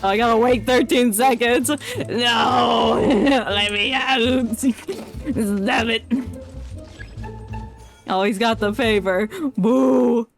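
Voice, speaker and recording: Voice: medium pitch, extremely slow, creaky, long pauses. Chatty, playful game streamer over music. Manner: playful, chatty, excitable muttering to self. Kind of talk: casual live gaming commentary. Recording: music behind the voice.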